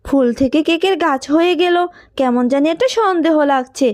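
A woman's voice: high voice, normal speed, a little mellow, lightly acted. A woman voicing a stern, suspicious mother in a cartoon, brusque and scolding. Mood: wary. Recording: long reverb with music underneath.

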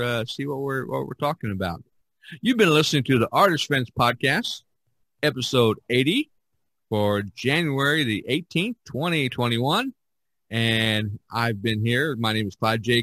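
A man hosting a podcast in casual chat, speaking haltingly and repeating his phrases. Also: mid-range voice, bright, forceful tone, no room sound. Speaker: podcast host.

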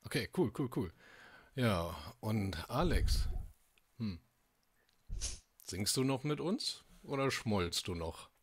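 A young man talks casually in an improvised roleplaying game. His voice is lowish and husky, and his pitch moves around a lot. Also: animated, takes it slowly, long pauses. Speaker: roleplay player. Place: dim home room, online video call.

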